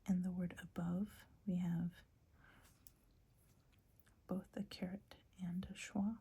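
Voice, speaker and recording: Breathy whispering woman, slow and soft. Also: lowish voice, level tone. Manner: whispering, hesitant, searching for words. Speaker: teacher. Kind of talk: whispered ASMR phonetics lesson.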